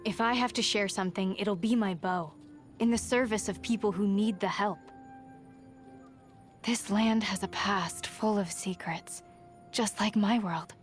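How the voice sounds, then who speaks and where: An actress playing a guarded, resolute young huntress in a video game, plain-spoken and understated. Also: female voice, mid-range voice, a little slow, faint music behind, natural voice. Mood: determined. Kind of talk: video game character voice lines.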